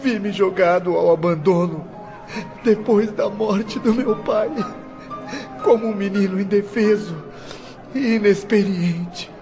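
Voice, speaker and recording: A man's voice: sits high, slow, mellow, lo-fi sound, faint music behind. A man playing a bitter young orphaned prisoner in a melodramatic radio play, lamenting tearfully. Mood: sorrowful lament. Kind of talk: melodramatic radio drama monologue.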